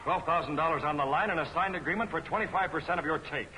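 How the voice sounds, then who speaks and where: Bossy masked vigilante, american, talks quick n clipped, kinda high voice, 1940s serial style. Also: male voice, bright, forceful tone, low-quality recording. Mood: cool, menacing. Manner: clipped, commanding. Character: stern, commanding masked male crime-fighting vigilante. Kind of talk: 1940s film serial acting. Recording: old film soundtrack with music.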